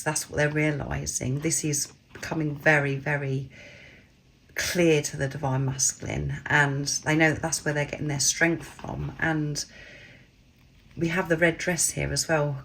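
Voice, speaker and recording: Woman, low and croaky from a cold, soft affirming card reader, faint hiss behind. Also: little pitch movement, a little slow, low-quality recording. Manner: gentle, affirming, addresses listeners as souls. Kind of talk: spiritual card reading to camera.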